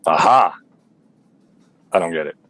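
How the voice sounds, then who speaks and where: Man acting a deep-voiced, boozy noir detective. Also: a little slow, no echo, exaggerated voice. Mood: clueless, earnest. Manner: hard-boiled, melodramatic, deadpan. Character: boozy, bitter, clueless male android-hunting detective. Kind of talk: noir radio play, narration and dialogue.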